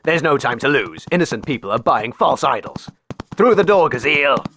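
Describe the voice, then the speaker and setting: A man's voice: mid-range voice, sing-song, normal speed, fairly bright tone, slight background noise. A man hosting a comedy radio show with a bombastic, theatrical manner. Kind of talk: comic radio sketch acting and hosting.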